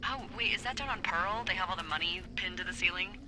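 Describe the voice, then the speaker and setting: An actress playing a wry, teasing fire lookout boss in a video game, talking conversationally over a walkie-talkie. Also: female voice, on the low side, fast, noisy background. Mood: playful, curious. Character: adult woman fire lookout, wry, teasing, candid, bossy. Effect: walkie-talkie radio. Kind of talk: naturalistic video game dialogue.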